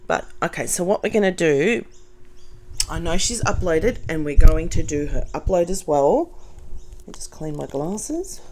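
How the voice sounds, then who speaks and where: Croaky, nasal Australian woman. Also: low voice, big pitch swings, measured pace, background noise. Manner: blunt and sarcastic, with asides. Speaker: commentary vlogger. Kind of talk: casual commentary reading comments.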